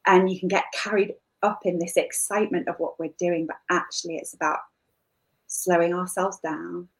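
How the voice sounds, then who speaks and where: A woman who teaches wellness, giving an earnest and enthusiastic welcome talk in a deliberate way. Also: lowish voice, fairly even intonation, rough recording.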